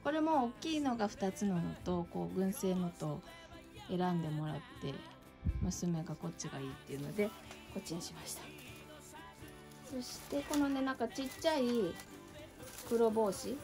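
A woman's voice: mid-range voice, sing-song, normal speed, over music. A middle-aged woman chatting casually as she shows plants to the camera in her home entryway. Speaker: succulent channel vlogger. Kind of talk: casual chat. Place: indoor entryway with a shelf.

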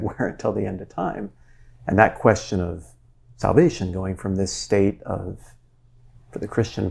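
A man's voice: fairly low pitch, creaky, a little hiss or hum. A man in his forties hosting an interview, talking in an earnest, searching way while gesturing, recorded with a lapel mic in a wood-panelled library. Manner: earnest, searching, animated with hands. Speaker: interviewer, show host. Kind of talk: conversational interview.

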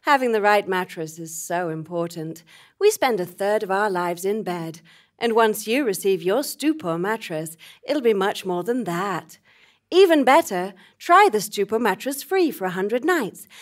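A woman's voice: medium pitch, expressive, a little slow. A woman on a theatre stage performing a scripted parody of an advert. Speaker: spoof advert voice. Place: small theatre stage, live show.

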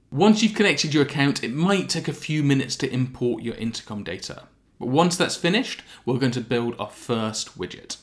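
A man in his thirties giving a scripted explainer, step by step, with deliberate emphasis. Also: mid-range voice. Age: 30s. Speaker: presenter of a software tutorial. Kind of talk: scripted explainer narration to camera.

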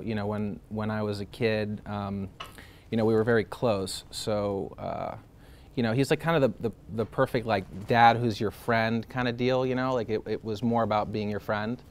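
A man's voice: fairly low pitch, steady tone, moderate pace, clean tone. A man in his thirties, born in Greece, talking casually in an interview with lots of fillers, restarts and laughs. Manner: rambling, many fillers and restarts, laughs often.

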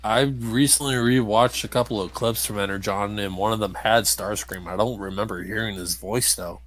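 A man's voice: on the low side, fairly even intonation, normal speed, a bit bright. A man giving casual, wry let's play commentary as he hosts a playthrough. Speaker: gamer hosting a playthrough.

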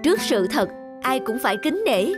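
An earnest, respectful cartoon palace guard. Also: female voice, high-pitched, very animated, normal speed, music in the background. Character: humble, earnest young guard, wise royal subject, male. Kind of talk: animated folktale voice acting.

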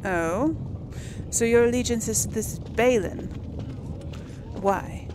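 Slow-paced woman doing game commentary. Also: a little high, noisy background. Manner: thinks aloud, musing to herself. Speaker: gaming YouTuber. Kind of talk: casual let's play commentary.

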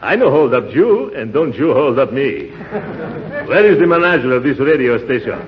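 An actor playing a vain, blustery, chuckling middle-aged uncle in a 1940s radio comedy. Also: male voice, mid-range voice, sing-song, normal speed, lightly acted. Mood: grandiose. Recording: muffled, noisy 1940s radio, audience behind.

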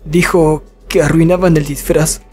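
A man's voice: on the high side, flat delivery, a little slow, a little mellow, husky, faint music behind. A man playing a timid, embarrassed teenage boy in an anime fandub, hesitant and stammering, with a Latin American accent. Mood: nervous. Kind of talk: anime comic fandub acting.

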